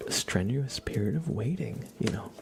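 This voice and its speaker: A young adult man speaking softly and gently as an ASMR livestreamer, half whispering as he chats casually to viewers. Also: mid-range voice, animated, moderate pace, vocal fry. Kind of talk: soft-spoken ASMR, casual chat with viewers.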